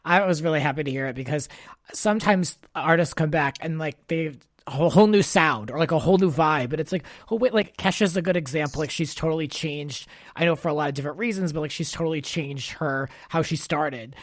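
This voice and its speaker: A man's voice: a little high, fairly quick, no echo. A man hosts a casual podcast in an upbeat, informal way. His speech is conversational and sprinkled with "like". The recording is dry, with very little room sound. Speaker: podcast host. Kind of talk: casual chat.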